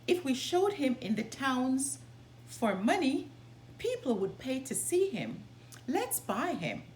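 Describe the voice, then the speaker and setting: A woman's voice: high-pitched, huge pitch swings, slow pace, a little mellow, roomy sound, a little hiss or hum, voice pushed up, exaggerated voice, low-quality recording. A woman voicing a scheming, greedy male stranger in a storybook, sly and persuasive.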